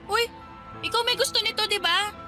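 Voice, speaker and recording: Sonia, a sharp-tongued teen girl. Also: extremely high pitch, exaggerated voice. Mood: sassy, indignant. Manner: quick, cheeky, argumentative. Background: music.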